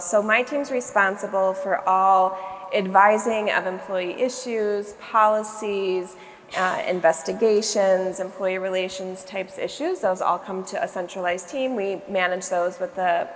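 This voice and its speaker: A woman speaking on a conference panel in a clear, businesslike, explanatory way. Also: mid-range voice. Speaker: panelist, HR advisory leader. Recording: reverberant hall, faint room noise.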